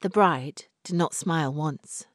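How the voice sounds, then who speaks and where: A woman reads scripted fiction as an audiobook narrator. Her voice is low and her pace slow, with deliberate, dramatic phrasing. The recording is close and dry, free of room sound. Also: dry recording.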